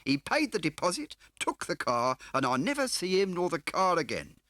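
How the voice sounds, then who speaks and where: A fat, shifty car dealer for an audiobook. The voice is pitched well up from the reader's own and swoops about, with boisterous, wheedling, mock-grand phrasing and a bright, forceful edge. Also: male voice, wildly swooping pitch, moderate pace, dry recording, clean studio sound, exaggerated voice. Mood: evasive, mournful. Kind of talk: audiobook character voice.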